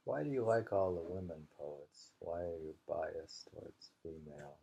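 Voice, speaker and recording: A man with a low, creaky voice reads short poems and muses on them casually. His pace is slow and unhurried, and the sound is a dull, lo-fi home recording. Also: animated, warm tone. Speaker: reader of a haiku anthology. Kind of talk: slow poetry reading with casual commentary.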